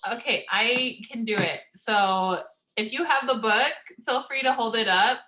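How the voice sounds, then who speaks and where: A woman's voice: on the high side, unhurried, pushed and bright, poor audio. A woman hosting a casual book club video call, speaking informally and passing the turn to each member. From United States. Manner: friendly, informal, hands the turn around.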